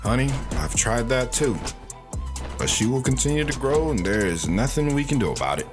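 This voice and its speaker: An actor playing a gentle, resigned soldier, a husband and father, calm and affectionate in an audio drama. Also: medium pitch, normal speed, over music, natural voice.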